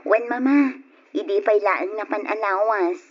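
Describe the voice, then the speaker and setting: Young expectant wife for radio play woman performs: patient, dutiful, slightly husky, on dull lo-fi recording. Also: mid-range voice, measured pace, lightly acted. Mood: patient, explaining. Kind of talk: old radio drama acting in Ilocano.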